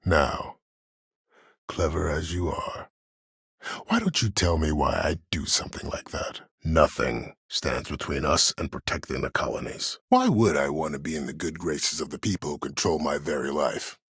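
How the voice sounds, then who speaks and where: A very deep, husky, calculating schemer. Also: male voice, fairly bright tone, no room sound, studio quality, exaggerated voice. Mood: smooth, sly. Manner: measured, formal, silkily polite. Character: calculating, courteous, menacing older male military schemer. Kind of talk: dramatic video game voice acting.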